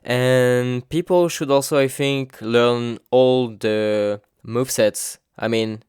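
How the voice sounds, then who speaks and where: French-accented man, casual, medium pitch. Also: steady tone, slow, a little mellow, clear, resonant tone, no room sound, studio quality. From France. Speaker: gamer and video editor, featured guest. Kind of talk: casual chat about gaming.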